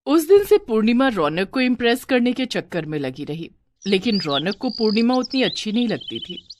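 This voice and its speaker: A woman's voice: a little high, very animated, normal speed, a little mellow, dry recording. A woman reading a scripted moral bedtime story as a storyteller.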